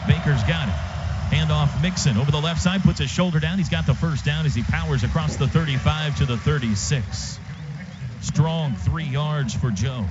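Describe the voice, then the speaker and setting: A high-pitched American man does football play-by-play in short, urgent phrases. The broadcast sound is dull, with loud stadium noise all around him. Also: normal speed. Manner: clipped phrases, building excitement as plays unfold. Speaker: radio play-by-play announcer. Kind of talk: live American football radio play-by-play commentary. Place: stadium radio booth. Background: loud outdoor stadium noise.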